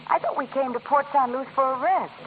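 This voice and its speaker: A woman's voice: sits high, brisk, lightly acted. An actress playing a wry, uneasy, outspoken young woman in 1940s radio style. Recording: tinny, noisy 1940s radio recording.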